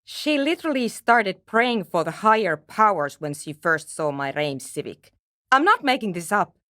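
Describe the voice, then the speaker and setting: A woman's voice: medium pitch, big pitch swings, normal speed, sharp tone, dry recording. An actress playing a brusque, nerdy woman programmer in a video game, with a dry, matter-of-fact, sardonic manner. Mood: irritated, sardonic. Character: brusque, nerdy young woman programmer researching a radio anomaly. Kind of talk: video game character dialogue.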